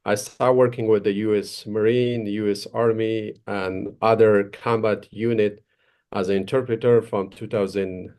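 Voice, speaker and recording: A man from Afghanistan with an Afghan accent, telling his prepared personal story as a guest speaker in a formal, halting way. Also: fairly low pitch, fairly even intonation, a little mellow. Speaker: case manager and former interpreter, guest speaker.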